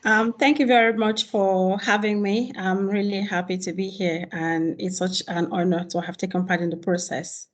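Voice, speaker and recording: A woman's voice: mid-range voice, steady tone, moderate pace, hardly any pauses. A woman candidate giving a prepared campaign statement over a video call, earnest and listing her points steadily. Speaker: rector candidate.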